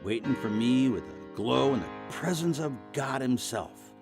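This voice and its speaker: An actor playing a weary, wistful elderly American war veteran, reminiscing in a folksy, halting, rambling way as an audio drama monologue. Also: male voice, medium pitch, expressive, music in the background. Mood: earnest, reverent.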